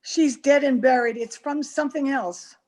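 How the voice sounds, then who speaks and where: A woman's voice: high-pitched, normal speed, a little mellow, natural voice. A woman playing a frightened, self-excusing accomplice, urgent and pleading, in a melodramatic old-time radio horror play. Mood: defensive denial.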